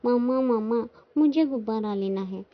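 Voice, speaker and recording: A woman's voice: fairly high pitch, unhurried, natural voice. A woman voicing a bratty, mischievous little boy crow in a children's cartoon, whiny and sing-song. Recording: dull lo-fi sound.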